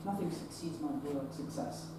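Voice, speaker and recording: Young man as a brisk Victorian hospital chief. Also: on the high side, natural voice. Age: young adult. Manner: brisk and matter-of-fact. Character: hospital head, brisk, pragmatic Victorian gentleman. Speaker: stage actor. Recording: echoey theater from far off, loud noise.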